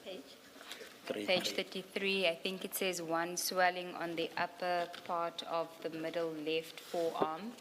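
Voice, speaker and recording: Low-pitched, unhurried woman in an echoey hall. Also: level tone. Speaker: evidence leader questioning a witness at a commission. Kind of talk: formal inquiry questioning. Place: large hearing room. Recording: echoey hall, clear background noise.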